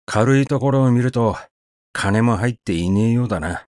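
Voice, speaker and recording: A man voicing a gruff grumbling laborer. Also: medium pitch, moderate pace, darkish tone, no echo, studio quality, natural voice. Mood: muttering. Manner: coarse, cursing working-class speech. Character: rough, grumbling male cement laborer.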